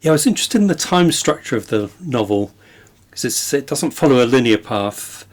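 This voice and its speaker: A man with an average-pitched voice interviews a guest about books. His delivery is conversational, and he frames his questions thoughtfully, with some hesitation. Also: expressive, fairly quick. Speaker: interviewer, podcast host.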